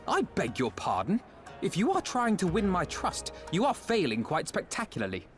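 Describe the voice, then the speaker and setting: A man's voice: high voice, huge pitch swings, moderate pace. An actor playing a young, earnest male diplomat in a game cutscene, speaking formally, curtly and suspiciously. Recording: with background music.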